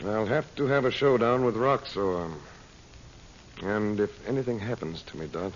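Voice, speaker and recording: An actor playing a grave, protective hero, earnest and measured, in old-time radio drama. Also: male voice, lowish voice, lightly acted. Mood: grave, determined. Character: resolute, grave heroic man, protective of his family. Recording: muffled old radio recording, faint noise.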